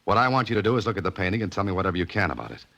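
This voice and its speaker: A man's voice: sits low, big pitch swings, racing, a bit bright, full character voice. An actor playing a tough, wry amateur sleuth in a 1940s radio mystery, clipped and sardonic, narrating his case. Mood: brisk, wry. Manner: clipped, sardonic, hard-boiled. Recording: old radio recording with music.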